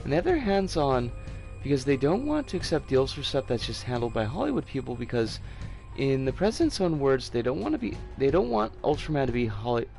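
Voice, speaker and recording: A man with a scratchy, hoarse voice from an oncoming cold, chatting casually and opinionatedly with some swearing as he streams a game. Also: mid-range voice, big pitch swings, brisk, a little mellow, soft background music. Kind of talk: casual live game commentary and chat.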